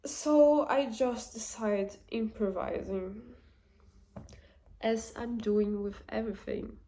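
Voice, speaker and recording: Slow-talking woman with a Portuguese accent. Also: a little high, dark tone. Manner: unhurried, thinks aloud with many fillers and restarts. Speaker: vlogger. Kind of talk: casual reflective talk to camera.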